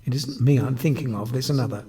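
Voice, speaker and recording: A wounded, sulky female motorbike recites her grievances in a hurt, reproachful way, as a man puts her on. She speaks quietly, nursing a long list of complaints. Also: medium pitch, fast talker, close to an everyday voice.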